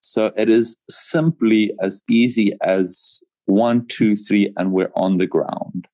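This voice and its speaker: A man's voice: lowish voice, takes it slowly, a little mellow, dry recording. A man, explaining things reassuringly as an interview guest and repeating phrases for emphasis. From South Africa. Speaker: paragliding pilot, interview guest. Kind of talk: conversational interview answers.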